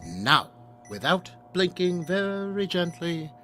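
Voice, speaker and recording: An actor playing a whimsical, teasing checkpoint guard in an audio drama, with theatrical, pompous, florid flourishes. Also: male voice, high-pitched, sing-song, slow, sharp tone, soft background music, exaggerated voice. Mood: soothing, whimsical. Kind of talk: comic audio drama acting.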